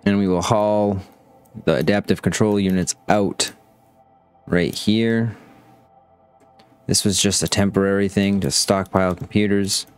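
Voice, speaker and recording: A man's voice: on the low side, fairly even intonation, unhurried, pauses often, clear, resonant tone, faint music behind. A man casually commenting on his factory-building game as he plays, thinking aloud as he checks production. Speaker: gaming channel host.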